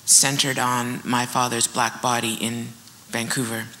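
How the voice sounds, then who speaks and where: A woman moderating a panel informally, reflecting aloud and pausing between phrases. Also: very deep voice, flat delivery, pushed and bright, poor audio. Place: indoor event stage.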